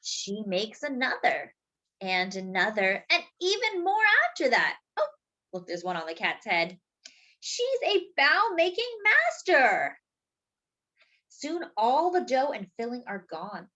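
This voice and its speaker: A slightly dull recording of a woman hosting storytime for young children. Her voice is fairly high and swoops expressively as she reads a picture book at an unhurried pace, drawing out words and adding asides for her listeners. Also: wildly swooping pitch, pushed and bright.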